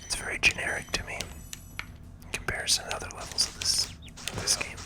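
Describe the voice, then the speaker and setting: A man giving soft, unhurried ASMR commentary on a video game in a breathy whisper. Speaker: gaming video host. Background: video game music.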